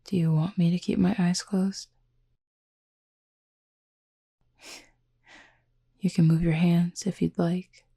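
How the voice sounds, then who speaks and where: A woman playing a gentle, composed horned cupid roommate in an intimate roleplay audio, soft-spoken, measured and formally worded, tenderly teasing and reassuring. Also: lowish voice, flat delivery. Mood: tender, softly teasing. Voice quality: soft, breathy, husky.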